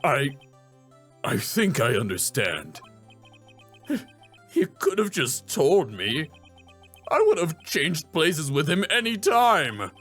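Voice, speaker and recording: A man voicing a sad, naive, soft-hearted actor in a video game, plaintive and hesitant. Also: on the high side, sing-song, takes it slowly, long pauses, husky, faint music behind, exaggerated voice. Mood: grateful, naive.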